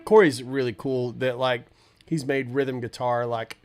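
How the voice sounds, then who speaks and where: A man's voice: mid-range voice, unhurried. Stuffy-nosed man chatting over guitar music. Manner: rambling, relaxed, lots of fillers and restarts. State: stuffy nose. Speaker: livestream host answering chat. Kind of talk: casual livestream chat.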